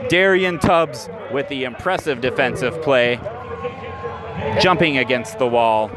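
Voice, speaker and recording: A man's voice: medium pitch, measured pace, crowd noise. A man working as a radio baseball announcer, calling the game live in clipped phrases as the action happens. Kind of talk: live baseball play-by-play commentary. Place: live at an outdoor ballpark.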